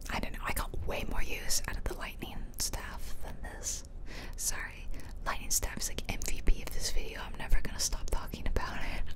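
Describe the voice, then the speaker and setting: A woman whispering casual, rambling ASMR commentary over gameplay, close to the mic. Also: fairly quick. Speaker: gaming ASMR creator. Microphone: close mic for ear-to-ear whispering.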